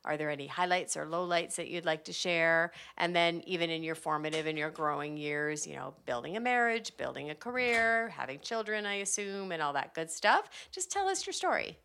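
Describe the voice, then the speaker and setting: A woman hosts a podcast interview in an eager, chatty way. Her voice sits low, with a gravelly edge and a bright, forceful tone. She laughs easily between her questions. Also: animated, brisk, few pauses, slight background noise. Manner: enthusiastic, chatty, laughs often. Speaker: podcast host. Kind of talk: casual interview chat.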